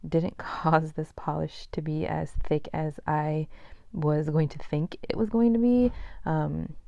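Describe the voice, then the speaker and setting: Low, gravelly-voiced nail polish vlogger woman. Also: fairly even intonation, slow, warm tone, no room sound, lo-fi sound. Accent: faint Boston. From Boston, USA. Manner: chatty, frequent ums and likes. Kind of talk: casual product review.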